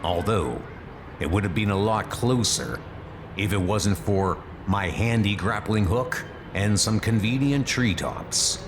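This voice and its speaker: A man playing a brooding, wry superhero detective in a comic dub, speaking in a deliberate, dramatic way. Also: fairly low pitch, lively intonation, noisy background. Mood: grim, determined. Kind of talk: comic dub narration and monologue.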